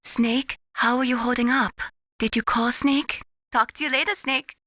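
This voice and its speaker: A woman voicing an earnest, caring young mission support analyst in a video game, encouraging and gently solicitous. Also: fairly high pitch, fairly even intonation, moderate pace. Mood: concerned, helpful.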